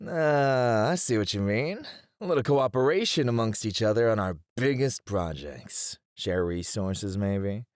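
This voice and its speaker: A man's voice: lowish voice, lively intonation, unhurried, no echo, studio quality. A man playing a charming, mischievous, flirty mafia boss in a teasing, theatrical ASMR roleplay. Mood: scheming, easygoing. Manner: teasing, theatrical, smooth-talking.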